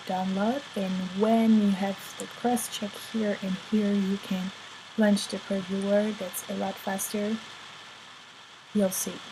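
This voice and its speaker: Woman tutorial host, unhurried and relaxed, steady hiss behind her. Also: mid-range voice. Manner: relaxed, talks while working, many ums. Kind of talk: screen-recorded tutorial walkthrough.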